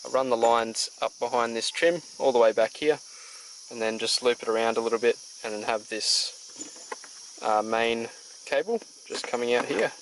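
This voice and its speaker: A man, casually explaining a radio install step by step while working, with relaxed pauses. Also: medium pitch, a little hiss or hum, poor audio. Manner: relaxed, plain-spoken, frequent "uh" pauses. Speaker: DIY car install presenter. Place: outdoors beside and inside a vehicle.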